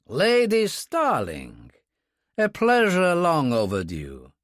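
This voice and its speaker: A male reader acts out a suave Russian man of courtly, self-mocking charm. The accent is only slight and the pace is very leisurely. The pitch swings widely, in a close, dry storybook recording. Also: huge pitch swings, fairly bright tone, no echo, full character voice. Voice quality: pleasantly deep. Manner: unhurried, courtly, ironic.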